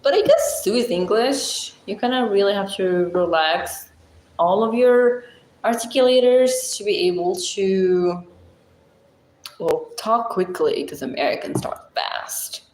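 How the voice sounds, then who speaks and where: A Moroccan woman with a Moroccan accent, hosting a casual livestream with a blunt, chatty, deep-voiced way of talking and frequent laughs. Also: animated, a little slow, darkish tone, roomy sound, slight background noise, low-quality recording. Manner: chatty, blunt, laughs often, thinks aloud. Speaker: livestream host. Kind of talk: casual livestream chat reading comments.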